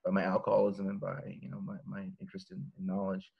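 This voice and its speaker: A man's voice: sits low, completely flat delivery, quick pace, gravelly, slightly rough, muffled recording. A man in his thirties from Los Angeles, a guest author talking casually over a video call from his living room, rambling with many fillers and restarts. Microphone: laptop webcam video call.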